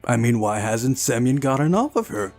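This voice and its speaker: A man's voice: mid-range voice, big pitch swings, moderate pace, creaky, a little mellow, soft background music. A man hosting a visual novel playthrough, reading the text aloud and adding incredulous, exasperated asides. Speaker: video game playthrough host. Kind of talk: visual novel let's play, reading and reacting.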